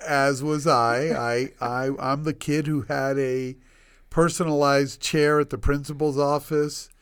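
A man's voice: mid-range voice, fairly even intonation, measured pace, clean tone. A man in his sixties from Northern Virginia, a doctor telling his life story as a guest in a casual interview, rambling with many restarts and self-deprecating humor. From Northern Virginia, United States. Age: 60s. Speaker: guest, obstetrician.

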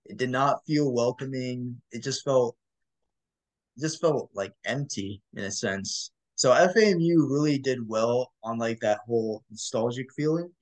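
A man's voice: mid-range voice, unhurried, rough recording. Young college guy, rambling and filler-heavy. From Michigan. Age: young adult. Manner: rambling, full of "like" and "um", laughs easily. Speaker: co-host. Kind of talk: casual chat.

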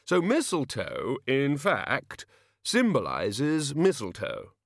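A man's voice: mid-range voice, huge pitch swings, slow pace, creaky, dry recording, studio quality, voice pushed up, heavily acted. A man voicing a flustered, nervous older male wizard scholar who stammers and hesitates.

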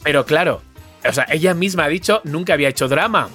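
A man's voice: a little high, fast talker, sharp tone, soft background music. A man with an accent from Spain chatting casually and effusively as a podcast co-host, speaking with emphasis. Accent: Spanish from Spain. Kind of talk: casual chat.

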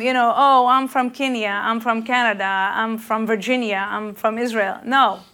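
Earnest woman preacher, rather high voice. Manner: intense and earnest, rising and louder on key questions; hesitant fillers, searching for words. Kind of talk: spoken sermon.

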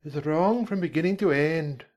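A man voicing a curt, haughty, contradictory caterpillar in a storybook, in a slow, drawn-out, decided way. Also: a little high, animated, a little mellow, voice pushed up. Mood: curt, dismissive. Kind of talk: storybook character voice.

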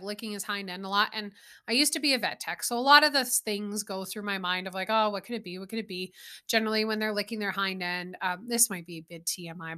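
A woman's voice: medium pitch, bright, forceful tone. A woman in her thirties hosting her own podcast, chatting casually and rambling with exasperated asides. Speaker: podcast host. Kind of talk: casual solo podcast chat.

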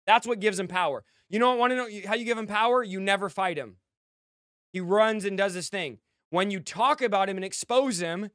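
A male American preacher with a high, clear voice delivers a fiery exhortation. He drives it forward in short, emphatic commands, often repeating phrases for force. The sound is dry, with very little room around the voice. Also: a bit bright, no room sound.

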